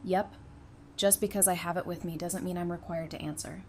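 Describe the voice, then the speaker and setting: A woman's voice: on the low side, steady tone, a little fast, a little hiss or hum, natural voice. A woman playing a practical, easygoing survivor in an audio drama, speaking in a matter-of-fact, conversational way.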